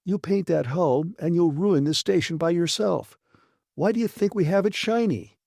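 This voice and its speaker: A man voicing a gruff, blunt and defiant male maintenance chief in an audiobook, arguing emphatically. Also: high-pitched, normal speed, warm tone, no room sound, studio quality, voice pushed up. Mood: dismissive, insistent. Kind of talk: dramatised audiobook reading.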